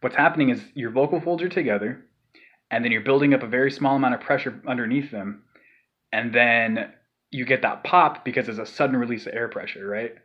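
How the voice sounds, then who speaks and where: A man talks through a vocal technique in a casual, rambling way, full of fillers, restarts and breathy demonstration sighs. His voice sits at an everyday middle pitch. The recording is dull and lo-fi, like a home setup. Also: brisk. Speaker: vocal tutorial maker. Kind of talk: casual spoken tutorial.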